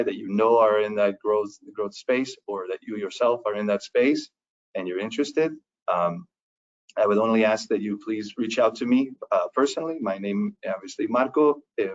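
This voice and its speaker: A man giving an informal presentation about an organization on an online call, halting and rephrasing as he goes. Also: lowish voice. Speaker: business center representative, presenter. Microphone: online video call. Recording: slightly dull online call audio.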